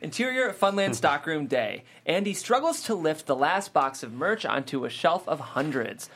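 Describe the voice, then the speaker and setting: Animated script narrator, widely swinging pitch. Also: moderate pace. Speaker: screenplay narrator. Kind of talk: reading script stage directions aloud.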